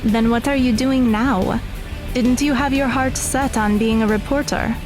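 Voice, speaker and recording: Slow-paced, guarded young woman acted out. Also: on the high side, fairly even intonation, over music, natural voice. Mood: guarded, surprised. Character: guarded, downcast young woman with secret knowledge of magic. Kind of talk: scripted audio drama dialogue.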